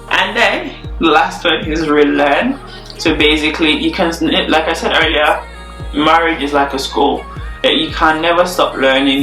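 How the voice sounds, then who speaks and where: A young woman chatting casually in a relaxed Q&A vlog, repeating words for emphasis. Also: low-pitched. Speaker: YouTuber. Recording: echoey room, faint music.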